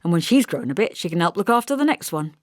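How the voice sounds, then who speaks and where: A woman's voice: fairly low pitch, big pitch swings, fast, dry recording, studio quality. A woman voicing an offhand, friendly young working man with casual, colloquial speech in an audiobook. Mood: proud, offhand. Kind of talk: audiobook character voice.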